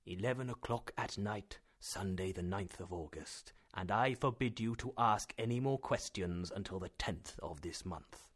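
A man voicing an affectionate older professor uncle in a storybook, with emphatic, deliberate, drawn-out phrasing. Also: deep voice, voice pushed down. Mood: hushed, tender.

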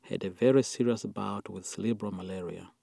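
A man's voice: on the low side, steady tone, brisk, creaky, warm tone, dry recording, studio quality. A man voicing a sober, devout Tanzanian father in a scripted documentary voice-over. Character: sober, devout Tanzanian cattle-herder father recalling hardship.

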